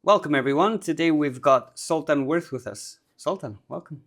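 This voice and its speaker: A man's voice: a little high, normal speed, a bit bright. A man working as an interview host, asking questions in a casual, conversational way.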